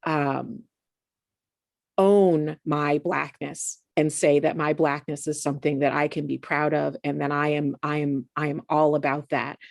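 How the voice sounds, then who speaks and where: Low-voiced woman near fifty, hosting. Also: level tone, measured pace, gravelly, no echo. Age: late 40s. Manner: warm backchanneling, laughs often. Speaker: podcast host. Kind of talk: casual interview chat.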